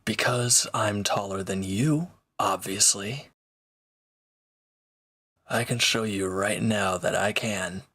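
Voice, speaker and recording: Man acting a low, unhurried, sardonic villain. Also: pushed and bright, slightly rough, full character voice. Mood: reassuring. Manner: dry, teasing, casual delivery with sighs. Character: sardonic, teasing reformed male villain recovering from wounds. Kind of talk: intimate roleplay audio drama, one-sided dialogue.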